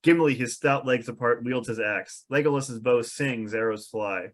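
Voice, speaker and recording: A man's voice: mid-range voice, normal speed. A man reading scripted stage directions as narrator at an amateur comedic table read.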